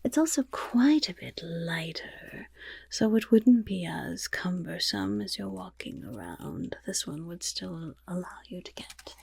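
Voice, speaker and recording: Woman playing a husky whispering goblin rogue, very creaky. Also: on the low side, heavily acted. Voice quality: breathy, raspy whisper. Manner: unhurried, genteel phrasing, gently encouraging. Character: friendly female goblin rogue, chatty heist outfitter. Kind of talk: ASMR fantasy roleplay.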